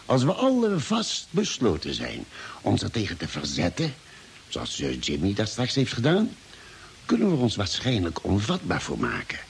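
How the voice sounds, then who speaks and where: A thoughtful male expedition doctor in an old radio play. He reasons things out deliberately, pausing mid-sentence, with a lively rise and fall in pitch. The recording carries a light hiss. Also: vocal fry, heavily acted. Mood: grave, reasoning. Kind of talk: 1950s-style radio drama acting.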